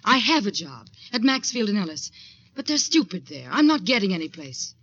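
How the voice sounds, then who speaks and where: A woman's voice: mid-range voice, big pitch swings, normal speed, fairly bright tone, slightly rough, dry recording. An actress playing an ambitious, defiant young woman in advertising who tells her own story in a 1940s radio drama. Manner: dramatic, intense first-person storytelling. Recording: dull 1940s radio recording with music.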